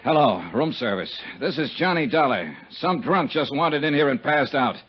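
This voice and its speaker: An actor playing a tough, wry American investigator in a 1950s radio noir, speaking in a clipped, sardonic way. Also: male voice, a little high, expressive, normal speed, noisy background, natural voice. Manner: clipped, hard-boiled, sardonic. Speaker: lead detective and narrator. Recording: dull vintage 1950s radio recording.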